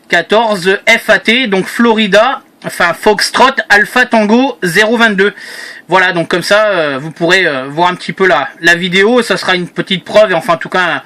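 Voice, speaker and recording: Guy on ham radio, pretty high bright voice, kinda rambling and repeating stuff. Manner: rambling, repetitive, trailing hesitations. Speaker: amateur radio operator and video maker. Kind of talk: casual radio contact chat.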